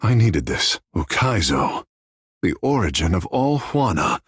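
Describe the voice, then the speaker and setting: Husky, swooping flamboyant godlike artist. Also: male voice, sits low, sing-song, unhurried, no echo, clean studio sound, full character voice. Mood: vain, playful. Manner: theatrical, florid, self-admiring, playful. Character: flamboyant, vain, flirtatious godlike sea-blessed male artist. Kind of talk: video game character dialogue.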